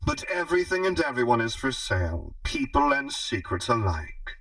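A man's voice: medium pitch, very animated, moderate pace, fairly bright tone, no echo, recorded from a distance. A man voicing a smug, menacing female crime boss in an audiobook, with a condescending, mocking drawl. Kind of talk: audiobook character dialogue.

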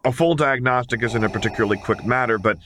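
A young engineer, voiced by a male reader in an audiobook. He talks casually, with sardonic stubbornness and warmth, pitched above the narration. Slight noise lies behind him. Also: quick pace, lightly acted. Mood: brisk, resigned. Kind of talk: audiobook character voice.

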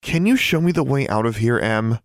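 A man's voice: medium pitch, expressive, brisk, no echo, studio quality, pitched above the performer's own voice, close to an everyday voice. A man voicing a stubborn young schoolgirl witch in an audiobook, insistent, pleading and defiant. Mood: desperate pleading.

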